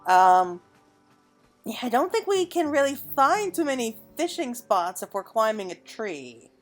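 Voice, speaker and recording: A woman's voice: on the high side, sing-song, unhurried, soft background music. A woman giving casual, chatty commentary as she plays a game, reacting aloud as she goes. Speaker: gamer recording a playthrough.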